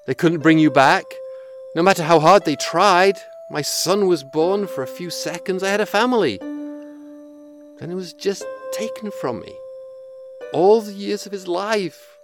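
A man's voice: high voice, measured pace, over music. A man playing a grieving, reflective elderly widower in an audio drama, speaking in a weary, wistful way. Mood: grieving, anguished. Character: grieving elderly widower looking back on his life, weary. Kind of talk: audio drama acting with monologue.

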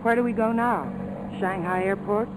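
An actress playing a guarded, defiant secretary in a 1940s radio drama, speaking crisply and insistently. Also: female voice, mid-range voice, normal speed, close to an everyday voice. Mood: wry, guarded. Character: defiant, guarded young secretary with nerves of steel. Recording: noisy old 1940s radio recording, engine drone.

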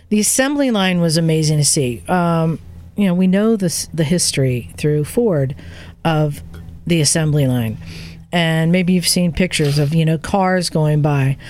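A woman's voice: lowish voice, darkish tone. Enthusiastic woman telling a casual story. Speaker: podcast host recounting a trip.

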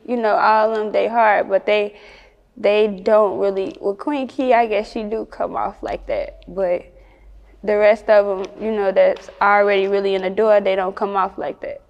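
A woman's voice: medium pitch, big-room sound, highs cut off. A woman with a Chicago accent chatting casually in an interview as a rapper, with slangy, sweary talk. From Chicago, United States.

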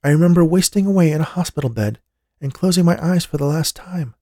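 A man's voice: a little high, lively intonation, fast, dark tone, no room sound, clean studio sound, pitched above the performer's own voice, lightly acted. A man voicing a frightened, pleading young woman. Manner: pleading, tearful and urgent.

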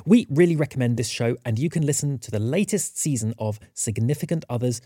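A man's voice: mid-range voice, fairly quick, mellow, breathy edge, no echo, clean studio sound. A man reading a scripted podcast promo as an announcer.

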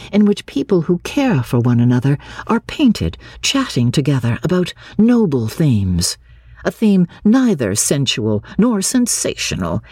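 Low, husky, expressive woman narrator. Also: no room sound, clean studio sound. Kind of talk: dramatic literary audiobook narration.

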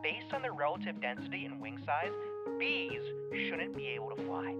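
Indignant male conspiracy ranter, lively swooping pitch, emphatic, sweary, audio comedy, music behind. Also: normal speed, lo-fi sound. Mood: hyped ranting. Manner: emphatic, profane, theatrical. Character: indignant conspiracy-minded male flat earther video ranter. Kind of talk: audio fiction comedy acting.

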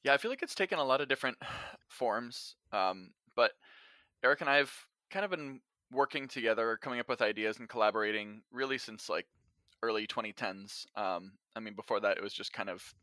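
A man's voice: medium pitch, brisk, bright, forceful tone, no echo. A man talking casually as an interview guest, explaining his work as a writer in a conversational style. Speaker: podcast guest, audio drama writer.

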